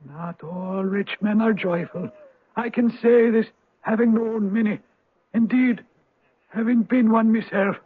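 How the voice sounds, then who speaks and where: An age-old spirit of the rock, wise and sceptical, declaiming in a radio play. The voice is high and rough-edged. It comes through a thin, echoey, phone-like recording. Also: male voice, measured pace. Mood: booming, knowing. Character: ancient male spirit of a rock, former Viking pirate, worldly-wise. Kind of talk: old-time radio drama acting.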